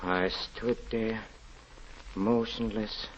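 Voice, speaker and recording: An actor playing a haunted, grieving European husband confessing a tragedy, halting, stammering and pleading in theatrical 1940s radio drama style. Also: male voice, fairly low pitch, steady tone. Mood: haunted, remembering. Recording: muffled, noisy old radio recording.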